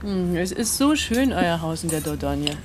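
An actress playing an articulate, critical middle-aged dinner guest in a radio play, speaking with assurance. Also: female voice, on the low side, lively intonation, normal speed, background noise, natural voice. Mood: conversational. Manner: assured, opinionated.

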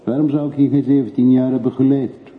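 A man's voice: medium pitch, little pitch movement, a little fast, lightly acted. An actor playing a reserved, brooding traveller in his forties in a radio play, terse and guarded. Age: 40s. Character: reserved, brooding traveller of forty-three, reluctantly back home. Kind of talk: radio drama acting. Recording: muffled narrowband radio recording with hiss.

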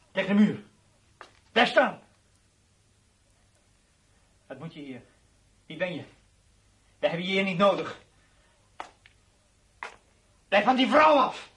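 Weary young soldier, noisy recording. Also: male voice, bright, forceful tone, full character voice. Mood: panicked, commanding. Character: weary, anxious young soldier, aged twenty-one. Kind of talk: radio drama acting. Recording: noisy old radio play recording with rumble.

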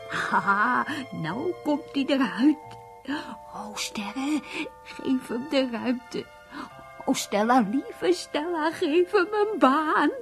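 An actress playing an excitable, credulous housewife in a Dutch radio play, animated and prone to stammering. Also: female voice, fairly high pitch. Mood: dreamy, pleading. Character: excitable, credulous housewife doting on her jobless husband.

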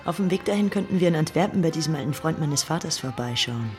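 A woman's voice: deep voice, animated, quick pace, a little mellow. An actress playing a laid-back, worldly woman bank robber with a sassy, slangy manner, in a German radio drama over music. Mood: breezy.

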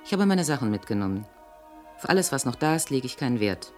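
A woman's voice: extremely low pitch, sing-song, quick pace, soft background music. An actress playing a cool, disillusioned woman reading her farewell letter in a 1970s German radio drama, in a cutting, matter-of-fact way. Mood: cool, reproachful.

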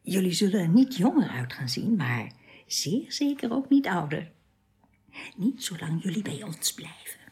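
An actress playing an earnest, tender, youthful alien woman in a radio drama, with precise, formal phrasing that often turns hushed. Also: on the low side, sing-song, unhurried, breathy edge, heavily acted. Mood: playful.

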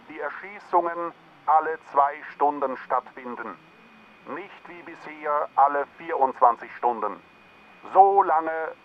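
An actor playing a stern Nazi radio announcer who proclaims decrees in an official, declamatory way through an old radio filter. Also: male voice, on the high side, narrow, tinny audio. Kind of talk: 1940s radio announcement acting. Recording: thin band-limited vintage radio broadcast sound.

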